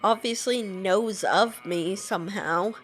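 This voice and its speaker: A woman's voice: medium pitch, slow, faint music behind. A woman playing a video game, reacting casually and informally in character. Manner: informal, sweary. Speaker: game player.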